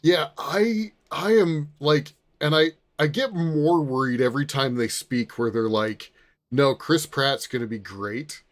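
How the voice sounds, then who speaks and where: A man speaks as a podcast co-host in an informal conversation. His voice sits at an average pitch for a man, and his phrasing is loose and conversational. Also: a little slow. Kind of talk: casual chat.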